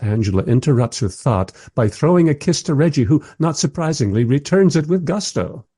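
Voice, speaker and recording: An actor in a radio play voicing a polite, dryly sceptical radio interviewer with a measured, understated manner. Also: male voice, fairly high pitch, expressive, dark tone, slightly rough, no echo, studio quality. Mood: dry, bemused.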